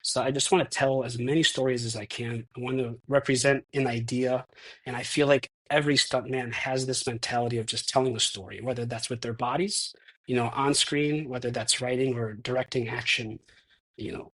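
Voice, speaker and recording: A man's voice: mid-range voice, steady tone, a little fast, fairly bright tone, poor audio. A man of thirty-one, a stuntman guest in a casual interview, talking reflectively with many restarts. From United States. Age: 30s.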